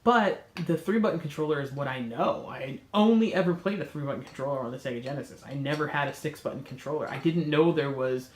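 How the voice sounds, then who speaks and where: A man with a cold speaks in a nasal, congested voice that sits a little high. He rambles enthusiastically and corrects himself often as he hosts a casual chat. The room sounds echoey, with a faint hiss underneath. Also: fast, hardly any pauses. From North America. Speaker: host.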